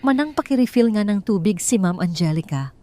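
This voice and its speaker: A woman voicing a betrayed wife in an audio drama, controlled and guarded, speaking in a restrained, pointed, measured way. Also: medium pitch, lively intonation, dark tone, pitched above the performer's own voice, natural voice. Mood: commanding.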